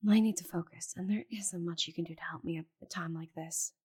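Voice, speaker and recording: A woman playing a proud, stubborn giant dragon in an ASMR roleplay, exasperated and condescending, with a breathy voice and muttered asides. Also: fairly low pitch, fairly even intonation, fairly quick, a little mellow. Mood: strained, dismissive. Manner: haughty, exasperated, condescending endearments, muttering to herself. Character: proud stubborn giant female dragon, flustered while shrinking.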